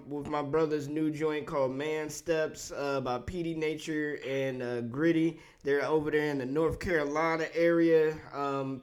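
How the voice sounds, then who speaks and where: A man hosting a casual talk show, relaxed and friendly, with a slangy way of talking. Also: on the high side. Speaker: podcast host.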